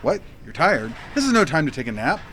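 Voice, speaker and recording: An actor playing a clueless, quirky dad detective, narrating in an earnest, scene-setting way for a comic audio drama. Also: male voice, a little high, sing-song, brisk, fairly bright tone, a little hiss or hum. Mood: puzzled. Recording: faint music under the voice.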